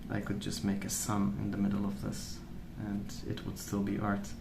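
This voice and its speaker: A man speaking softly in a hushed, unhurried ASMR ramble, musing as he goes. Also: fairly low pitch, monotone, creaky, reverberant, background noise. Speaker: ASMR video host. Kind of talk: soft-spoken ASMR rambling while colouring.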